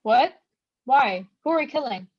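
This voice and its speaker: A brash young female swordfighter, acted by a woman in a dramatic reading. Her voice sits fairly high and she speaks in blunt, mocking jabs. The sound is muffled and lo-fi. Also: lively intonation, a little slow, no echo. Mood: eager. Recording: muffled lo-fi microphone audio.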